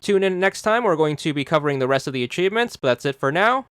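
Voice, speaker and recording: A man's voice: fairly high pitch, lively intonation, quick pace, fairly bright tone, smooth, no echo, clean studio sound. A man hosting a game walkthrough, giving casual step-by-step instructions to viewers in a scripted narration style. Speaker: host of a game achievement guide.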